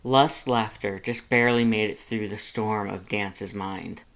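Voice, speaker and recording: Fan fiction narrator, mid-range voice, dramatic and expressive read. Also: mid-range voice, unhurried. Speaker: audiobook reader of fan fiction.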